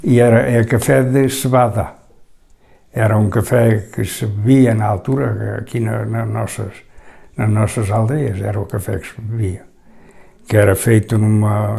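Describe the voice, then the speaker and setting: An older Portuguese man telling stories from the past in plain casual talk. His voice is gravelly and mellow, and he often breaks off and starts over while he looks for words. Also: mid-range voice. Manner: halting, searching for words, many restarts. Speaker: local interviewee. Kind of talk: recalling memories in casual talk.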